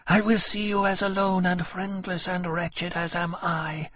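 A man voicing a cool, disdainful female dragon who speaks slowly and bitterly. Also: a little high, lively intonation, breathy edge, no room sound, pitched above the performer's own voice. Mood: menacing. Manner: cool, contemptuous, slow and measured.

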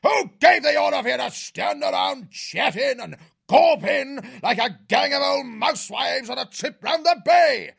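A man voicing a bossy military hare sea-captain in a storybook, with a raspy British bark and pompous bluster. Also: high voice, no room sound, clean studio sound, voice pushed up, full character voice. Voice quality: raspy, gravelly shout.

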